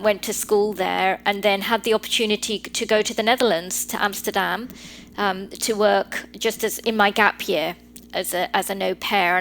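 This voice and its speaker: A woman in her forties with a London accent, a lawyer giving measured advice in a podcast interview. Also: medium pitch, a bit bright, slight background noise. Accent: London English. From Britain. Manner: measured, advisory, with fillers. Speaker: guest lawyer.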